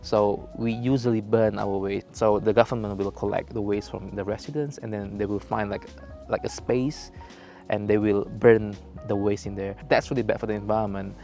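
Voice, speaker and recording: A man's voice: fairly low pitch, moderate pace. Hesitant young man. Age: young adult. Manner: hesitant, many fillers, relaxed. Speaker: intern interviewee. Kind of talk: casual interview answers. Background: faint music.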